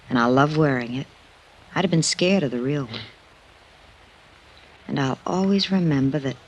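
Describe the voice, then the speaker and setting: Casting: sincere, ingenuous woman, highly expressive pitch, breathy, halting, old-time radio drama. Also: full character voice, rough recording. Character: tender-hearted, ingenuous woman, loyal and easily moved. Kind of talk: 1950s radio drama acting. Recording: vintage radio drama recording.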